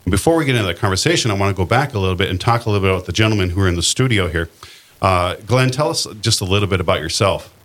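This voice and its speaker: Low-voiced male radio host. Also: animated, a bit bright. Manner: friendly, conversational, quick to laugh with guests. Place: radio studio.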